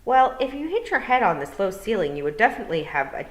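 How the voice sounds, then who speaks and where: A woman's voice: medium pitch, very animated, quick pace, echoey room, voice pushed up, natural voice. A woman voicing a small, muddled toad in a storybook, apologetic and a little lawyerly in manner. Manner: muddled, moaning.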